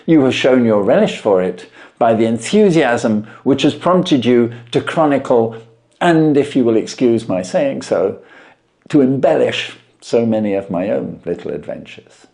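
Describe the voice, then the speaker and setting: A man voicing a self-assured gentleman detective in an audiobook, formal, courteous and emphatically articulate. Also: mid-range voice, lively intonation, measured pace, gravelly. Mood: animated, lecturing. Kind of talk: audiobook character reading.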